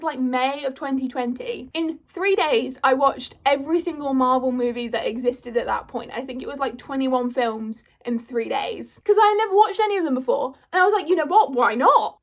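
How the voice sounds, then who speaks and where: Brief: woman, 21, high voice, chatty and self-mocking to camera. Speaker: vlogger. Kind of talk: casual chatty commentary.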